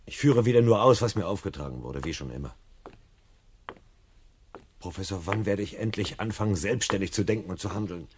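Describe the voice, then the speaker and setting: A man's voice: deep voice, animated, fairly quick, pauses often, a little mellow, slight background noise. An actor playing a troubled, searching fireman in a 1970 radio play, earnest and brooding in manner. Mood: dejected. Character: troubled, searching fireman, lonely and eager for conviction.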